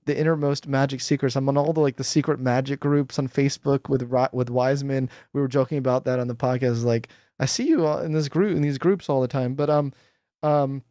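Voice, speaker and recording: A man's voice: mid-range voice, fairly quick, no echo. Enthusiastic chatty man, dry close mic. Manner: animated, enthusiastic, laughs often, many fillers. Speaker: guest psychologist. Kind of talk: casual interview chat. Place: home room with bookshelves. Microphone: headphones with boom microphone.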